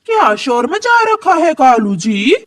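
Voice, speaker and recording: Scornful, scheming cartoon crow stepmother, played by a woman, with animated pitch and a sharp tongue. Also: slow, heavily acted. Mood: snappish. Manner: snappish, scornful.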